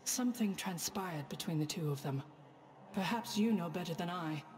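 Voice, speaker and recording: Woman doing a grave formal goddess for a game, lowish voice, big long reverb. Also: animated, moderate pace, darkish tone, natural voice. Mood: solemn, formal. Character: stern, solemn goddess, daughter of a divine king. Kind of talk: video game voice acting.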